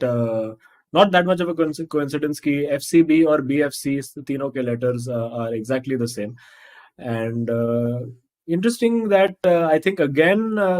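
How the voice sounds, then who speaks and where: A man doing live esports co-commentary on a football video game, talking haltingly with many fillers and restarts. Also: mid-range voice, darkish tone.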